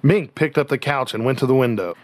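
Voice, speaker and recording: A man's voice: mid-range voice, fairly quick, no room sound, close to an everyday voice. A man reading scripted story narration for a radio drama.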